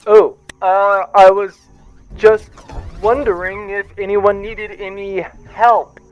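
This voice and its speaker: Man playing a high-pitched, hapless spaceship accountant. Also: flat delivery, slow pace, smooth, soft background music, poor audio. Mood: nervous, stilted. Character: hapless, sarcastic male accountant on a privateer spaceship. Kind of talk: comic sci-fi audio drama acting.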